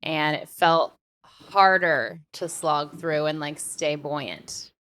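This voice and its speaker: Female podcast co-host, pitched a little low, informal and slangy, thinking aloud in casual conversation. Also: slow, fairly bright tone.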